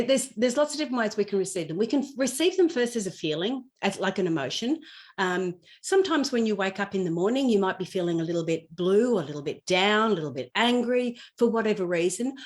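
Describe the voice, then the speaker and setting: A woman speaks at an average pitch for a female voice. She explains in a conversational teaching style, as a guest on a podcast would. She illustrates her points with personal stories and everyday examples. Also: darkish tone.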